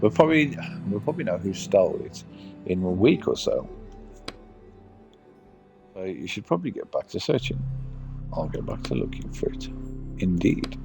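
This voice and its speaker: A man with a very deep voice and plenty of gravelly fry plays through a game on a live stream. He reads the on-screen text aloud in a halting way, dropping into muttered, casual commentary. Music plays clearly behind him. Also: very animated, poor audio.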